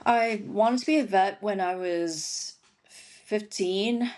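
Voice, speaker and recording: A woman's voice: mid-range voice, slow, a little mellow. Chatty, laughing woman guest. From Syria and Lebanon. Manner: chatty, laughs often while talking. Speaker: guest, veterinarian and stand-up comic. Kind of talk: casual interview chat.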